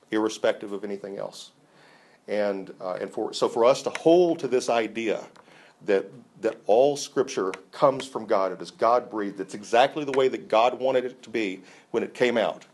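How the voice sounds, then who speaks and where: A man's voice: on the low side, a little hiss or hum. An enthusiastic man teaching a Bible class, talking conversationally with frequent restarts and fillers.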